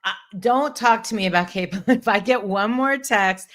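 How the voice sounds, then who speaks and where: A woman's voice: mid-range voice, moderate pace. A woman in her forties, a producer, chatting about pop culture in a playful, giggly, emphatic way. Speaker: producer and guest. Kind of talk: casual pop culture chat.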